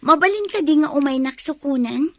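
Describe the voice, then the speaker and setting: A young woman in a radio drama, easily charmed. Her high voice is polite, a little hesitant and friendly. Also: expressive, fairly quick. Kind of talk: radio drama acting in Ilocano.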